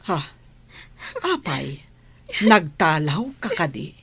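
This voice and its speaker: A low, husky woman's voice with lively swings, an actress playing a kindly, concerned lady in an Ilocano radio drama. She questions in a motherly way, recorded close and dry. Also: slow pace, no echo, full character voice. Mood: alarmed. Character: kindly, concerned adult woman helping a runaway girl.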